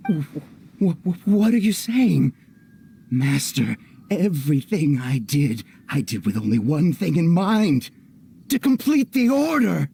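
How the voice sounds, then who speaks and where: A greedy, pompous merchant villain for a video game. The voice creaks and swoops theatrically, drawing words out with blustering self-importance. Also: male voice, fairly high pitch, sing-song, takes it slowly, dark tone, slight background noise, exaggerated voice. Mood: desperate, pleading.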